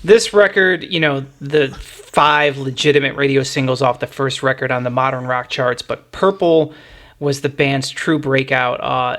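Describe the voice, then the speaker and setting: A man's voice: mid-range voice, a bit bright. A middle-aged man hosting a music podcast, talking casually and explaining things in a reflective way.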